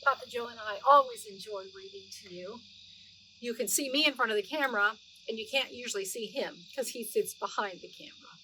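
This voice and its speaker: High-voiced woman reading a storybook to children. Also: slight background noise, rough recording. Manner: expressive storytelling, drawn-out words and gentle questions to the listener. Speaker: host of a children's read-aloud show. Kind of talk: storybook read-aloud with chatty asides to young listeners.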